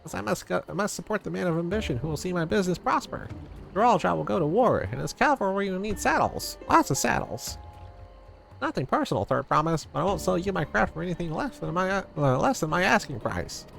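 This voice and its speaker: A shrewd little saddle maker from a fantasy game, played by a man, haggling in a high, very animated voice. The delivery is insistent and gravelly, over background music. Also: a little fast, heavily acted. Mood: shrewd, unyielding. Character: small shrewd Pelupelu saddle maker, hard-bargaining merchant. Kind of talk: fantasy game dialogue read aloud. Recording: music under the voice.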